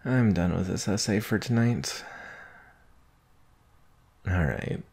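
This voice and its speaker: A man's voice: low voice, expressive, gravelly, darkish tone, breathy edge, heavily acted. A man playing a nervous young werewolf student with a crush, speaking hesitantly and intimately in an ASMR roleplay. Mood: shy, relieved. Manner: hesitant, bashful, halting, sighing.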